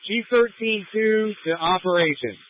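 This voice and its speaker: A man's voice: high voice, unhurried, no room sound. A man working as a fire chief, giving direct, clipped commands over a two-way radio in emergency traffic. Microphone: radio handset. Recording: muffled lo-fi fire radio transmission.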